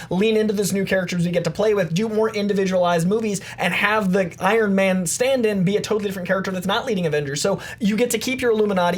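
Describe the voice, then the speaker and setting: A man arguing his case as a contestant on a pop-culture debate show, emphatic and argumentative. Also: sits high.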